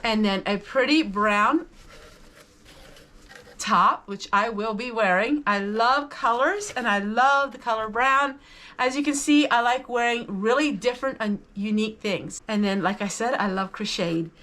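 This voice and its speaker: A woman's voice: on the high side, measured pace, bright, forceful tone. A woman hosting a vlog, chatting casually to viewers about items she shows, in an enthusiastic and appreciative manner. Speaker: vlog host. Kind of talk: casual haul chat to viewers.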